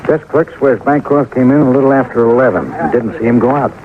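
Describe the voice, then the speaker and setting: A man's voice: mid-range voice, animated, a little fast, natural voice. An American man playing a shrewd, persistent police sergeant in an old-time radio detective drama, speaking in a terse, businesslike way. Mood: businesslike, intent. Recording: tinny, noisy old radio recording.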